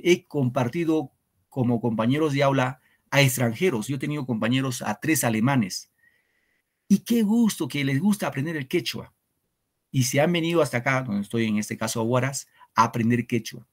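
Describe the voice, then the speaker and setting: A male teacher gives an online class. He lectures in an earnest, reflective tone and keeps checking in with "¿no?". His voice sits in an ordinary middle pitch. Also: lively intonation, normal speed. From Peru. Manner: earnest, reflective lecturing with frequent rhetorical questions.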